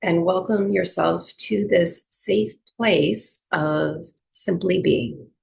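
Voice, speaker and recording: A woman teaching yoga and breathwork, gently guiding a relaxation meditation with soothing, unhurried instruction and long pauses. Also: lowish voice, gravelly.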